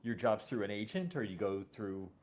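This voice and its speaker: A man hosting a casual interview, speaking in a halting way and often rephrasing mid-sentence. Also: on the low side, lively intonation. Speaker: host.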